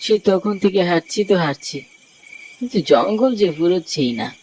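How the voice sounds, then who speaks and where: A man's voice: high voice, expressive, brisk, darkish tone, a little hiss or hum. A man voicing a frightened young man in a horror cartoon, telling his tale in a dramatic, bewildered way. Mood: weary, uneasy. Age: young adult. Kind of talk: Bengali horror cartoon narration.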